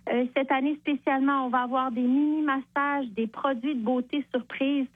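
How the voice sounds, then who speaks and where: A woman's voice: high-pitched, fairly even intonation, moderate pace, no room sound. A woman, guest on a radio show, cheerfully promoting a charity walk over the phone. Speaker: guest, charity event co-chair. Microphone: phone line. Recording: tinny phone line.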